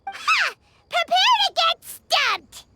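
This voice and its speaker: Squeaky, excitable little fairy girl. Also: slow, heavy background noise, exaggerated voice. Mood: indignant, boastful. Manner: speaks of herself in third person, exclamatory. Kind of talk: animated video game voice acting.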